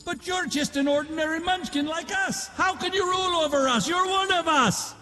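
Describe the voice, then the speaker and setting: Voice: very high-pitched, normal speed. A man playing a small, indignant, jeering Munchkin in a fantasy film dub. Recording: bright, reverberant hall-like film sound.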